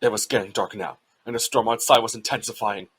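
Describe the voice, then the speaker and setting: A man's voice: on the low side, fairly even intonation, fast, fairly bright tone, breathy edge. A man giving scripted narration of a storybook as its narrator.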